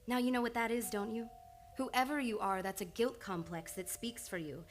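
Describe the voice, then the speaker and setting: A woman's voice: on the high side, steady tone, normal speed, natural voice. A woman playing a composed, earnest psychoanalyst in an old-time radio play, probing and insistent with a patient she cares for. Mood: urgent, reasoning. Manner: insistent, probing, clinical yet affectionate. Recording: live radio play with background noise.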